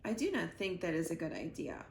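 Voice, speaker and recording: Woman as a measured, formal robot mother. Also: on the low side, gravelly, voice pushed down. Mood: calm, firm. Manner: measured, formal, even delivery without contractions. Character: female robot mother, calm, formal, patient, protective. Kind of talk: children's storybook read-aloud. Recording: roomy echo, noticeable background noise.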